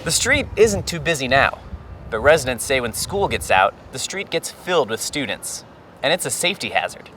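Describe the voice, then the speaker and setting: A man's voice: mid-range voice, very animated, normal speed, bright, forceful tone, a little hiss or hum. A man with an American accent reading a scripted news report as a reporter and anchor.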